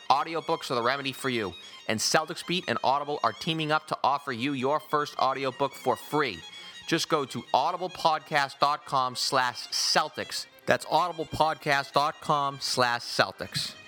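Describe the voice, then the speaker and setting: Bright, forceful American male sports podcast host. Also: medium pitch, poor audio. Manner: wordy, rhetorical, ornate phrasing. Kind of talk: sports commentary and host-read promos.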